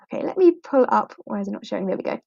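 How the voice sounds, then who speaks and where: A British woman hosts an informal livestream for fellow English teachers, chatty and rambling, with fillers and little laughs. Her voice sits at a middle pitch. The sound is close, dry and a little dull, like home streaming audio. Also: expressive, rapid-fire, gravelly, dark tone, dry recording. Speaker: online ESL teacher hosting a livestream. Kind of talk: informal live walkthrough.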